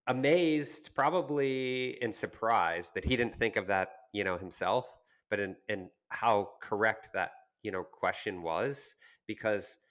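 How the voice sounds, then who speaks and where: A man with a low, deep voice talks as co-host of a business podcast. His delivery is conversational and explanatory, built around examples from experience. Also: fairly bright tone. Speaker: podcast co-host discussing marketing.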